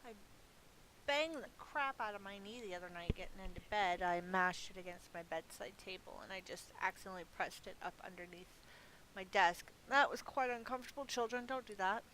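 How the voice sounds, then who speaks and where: A woman with a mid-pitched voice chats casually through her own video game play. She muses in short, offhand remarks without hurry. A clear hiss runs through the home recording. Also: fairly quick, low-quality recording. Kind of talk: casual gameplay commentary, talking to self. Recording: hissy home recording, steady noise.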